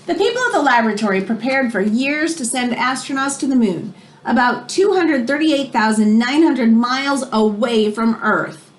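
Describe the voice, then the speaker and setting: A woman reading a children's book aloud in an expressive way, as if explaining it to young listeners. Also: fairly high pitch, moderate pace, slight background noise. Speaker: read-aloud storyteller.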